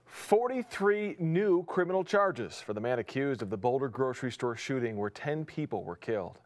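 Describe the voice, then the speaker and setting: A man's voice: medium pitch, expressive. Man reading morning TV news. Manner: brisk, chatty asides between stories. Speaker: news anchor. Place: TV studio with city-skyline backdrop.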